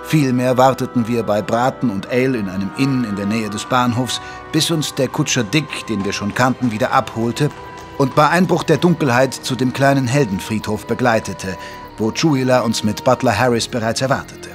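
Guy acting as victorian doctor narrating a detective radio play, measured and literary, music behind him. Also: medium pitch, natural voice. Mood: measured storytelling. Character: Victorian gentleman doctor recounting his detective friend's case. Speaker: narrator of an audio drama. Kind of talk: scripted audio drama narration. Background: music under the voice.

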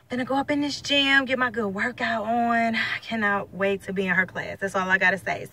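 Bright-voiced woman vlogging from a car. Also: mid-range voice, a little hiss or hum. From St. Louis, USA. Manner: blunt, slangy, lively and chatty. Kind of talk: casual selfie vlog. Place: inside a parked car. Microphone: phone held at arm's length.

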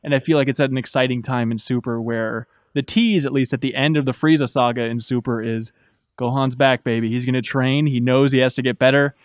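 A man in his thirties with a medium-pitched voice asks questions as a podcast host. He is fluent and engaged, chatting like a fan. The sound is close and very dry, from a studio microphone. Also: steady tone, fairly quick, no echo. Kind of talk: casual interview chat. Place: small podcast studio at a table. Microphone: close desk microphone.

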